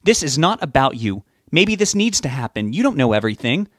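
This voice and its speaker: A man's voice: medium pitch, big pitch swings, a little fast, dry recording, studio quality, pitched above the performer's own voice. A man putting on a feisty, bossy wife. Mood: scolding. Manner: snappish, scolding. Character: bossy, feisty wife, scolding yet kindly.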